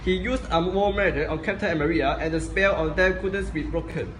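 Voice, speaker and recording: A man narrating an amateur skit in a plain, steady reading voice. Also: a little high, a little fast. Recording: echoey room, noisy.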